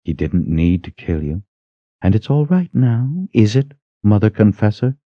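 A menacing witch woman from a fantasy audiobook, acted out by a man. She is scornful and commanding, throwing out threats and accusations with wildly swinging pitch. The recording is close, dry and slightly muffled. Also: big pitch swings, unhurried, no room sound. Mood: cold menace. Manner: hissing threats, accusing rhetorical questions.

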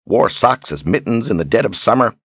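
A man's voice: mid-range voice, expressive, a little fast, husky, dry recording, pitched above the performer's own voice. A man voicing a boastful, sly old wizard who talks in a folksy, grandiose way, as in an audiobook. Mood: cheery rambling. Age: older. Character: theatrical, boastful, sly old wizard posing as fortune-teller. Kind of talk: audiobook character acting.

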